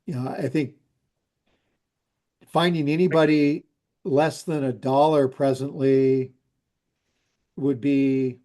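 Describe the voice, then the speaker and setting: A man presenting water rates to a council over a video call, halting and full of restarts and fillers. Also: mid-range voice, steady tone, warm tone. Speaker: utility rate consultant. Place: remote, joining by video call.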